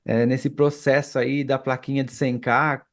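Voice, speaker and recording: A man's voice: medium pitch, little pitch movement, measured pace. A Brazilian man hosting a casual interview, often checking for agreement with little tag questions. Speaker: podcast host.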